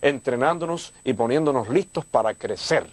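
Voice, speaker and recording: Older man from cuba talking church stuff on tv, warm and thankful, dry studio mic. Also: medium pitch, lively intonation, moderate pace, no room sound. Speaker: church leader. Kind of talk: studio interview. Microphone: lapel.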